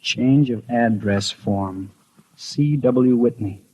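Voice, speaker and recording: A man's voice: medium pitch, takes it slowly, darkish tone, slight background noise, close to an everyday voice, poor audio. An actor playing an anxious, imaginative postman who narrates his suspicions and stammers with others, in 1950s radio drama style. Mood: anxious brooding. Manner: hesitant and stammering when speaking to others. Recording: 1950s radio broadcast with music.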